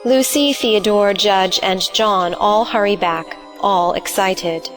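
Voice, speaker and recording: A female narrator with a medium-pitched voice reads stage directions for an audio play. Faint music sits behind her scripted narration. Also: takes it slowly.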